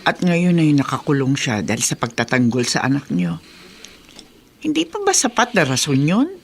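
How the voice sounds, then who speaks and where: A man's voice: fairly high pitch, sing-song, moderate pace, gravelly, husky, exaggerated voice. A man playing an insistent, moralizing parent in a Tagalog radio drama, emphatic and admonishing. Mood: urging, reproachful. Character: insistent, moralizing parent urging a grown son.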